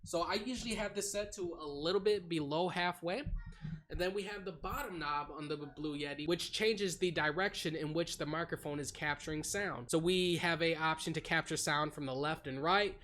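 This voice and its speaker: A young man explains streaming software settings in a relaxed, chatty way, his voice a little higher than most men's. He speaks close into a desktop condenser microphone at his home setup. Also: moderate pace. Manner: plain, explanatory, drawls some words. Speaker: streaming tutorial host. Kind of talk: casual screen-recorded tutorial. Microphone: desktop USB condenser mic.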